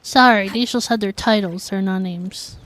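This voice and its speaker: A woman's voice: mid-range voice, moderate pace, a bit bright, a little hiss or hum. A woman streaming a game, chatting casually with her viewers in a filler-heavy way. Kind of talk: casual livestream chat while gaming.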